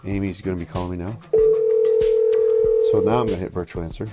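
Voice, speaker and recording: A man demonstrating an office product in a casual, halting, think-aloud way, like a hands-on walkthrough. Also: low-pitched, fairly even intonation, over loud music. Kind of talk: casual hands-on phone system demo.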